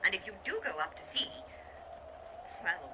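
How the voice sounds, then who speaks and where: An actress playing a businesslike yet cryptic telephone operator in a radio play. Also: female voice, medium pitch, huge pitch swings, moderate pace. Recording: dull lo-fi radio recording, background hiss.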